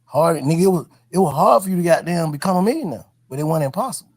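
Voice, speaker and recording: Casting: man, podcast interviewer, rapid and very animated delivery, emphatic street slang. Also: a little high. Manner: emphatic, slangy, repeats points. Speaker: podcast host interviewing a guest. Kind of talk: heartfelt advice in casual street talk.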